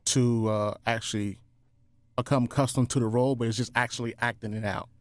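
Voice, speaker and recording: A man's voice: mid-range voice, steady tone, brisk, no echo. A man, a voice actor, answering questions casually as an interview guest.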